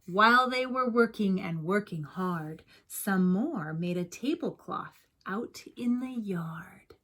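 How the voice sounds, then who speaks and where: A woman's voice: mid-range voice, animated, takes it slowly, bright, forceful tone. A woman reading a picture book aloud like a teacher, expressively, with chatty asides and questions to young listeners.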